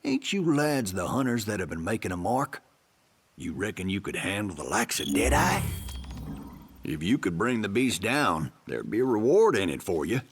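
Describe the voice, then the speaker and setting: An actor playing a folksy, plainspoken ranch keeper with a Southern American accent and husky voice in a video game. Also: male voice, fairly low pitch, big pitch swings, normal speed, a little hiss or hum. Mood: friendly, earnest. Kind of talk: video game cutscene acting.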